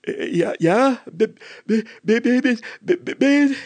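A man's voice: very high-pitched, animated, slow, darkish tone, no echo, pitched above the performer's own voice, exaggerated voice. A man voicing a flustered, bossy village mayor in a story, stuttering and spluttering with sneezy speech. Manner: pompous, spluttering, exclamatory.

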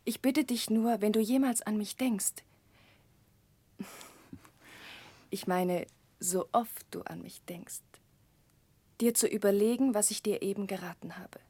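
An actress playing a gentle, earnest, caring young woman with a soft voice in a 1960s radio drama. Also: medium pitch, measured pace, pauses often, no room sound. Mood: gentle, concerned. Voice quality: soft, breathy.

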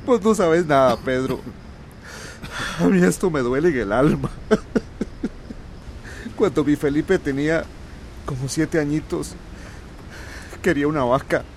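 A man's voice: on the high side, slight background noise. An actor playing a middle-aged Central American country man, plaintive and mourning his beloved cow in a radio drama. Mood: sorrowful. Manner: slow, plaintive, pleading.

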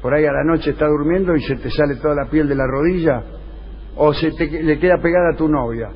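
A man's voice: a little high, quick pace. A man with an Argentine Rioplatense accent hosting a radio comedy show, telling absurd stories in a mock-serious way as improvised dialogue. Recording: dull, noisy radio recording.

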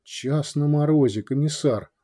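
A man's voice: on the high side, unhurried, dark tone. A man voicing Yusur, a self-pitying male shas trader who complains in a weighty, tragic way.

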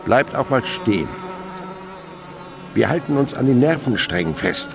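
An actor playing an ageing, dreamily enthusiastic insect researcher in a German radio play, speaking in a scholarly, lyrical and patiently instructive way. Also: male voice, medium pitch, sing-song, measured pace, gravelly. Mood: absorbed, explaining.

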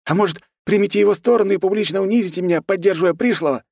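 Zealous monk, played by a male narrator, raised pitch, accusing, agitated, fantasy audiobook. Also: a little fast, warm tone, a little hiss or hum, natural voice. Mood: indignant. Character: zealous, indignant monk obsessed with corruption.

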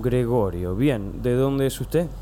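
A man's voice: mid-range voice, lively intonation, slow, dark tone, a little hiss or hum. A man playing a brusque, impatient theater director in a comic radio drama. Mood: curt. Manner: brusque and dismissive.

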